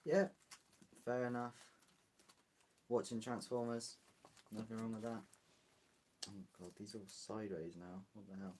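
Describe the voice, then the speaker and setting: A man's voice: on the low side, steady tone, unhurried, long pauses, darkish tone, slight background noise, low-quality recording. A British man streaming live, chatting casually and rambling as he answers viewer comments. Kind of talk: casual livestream chat.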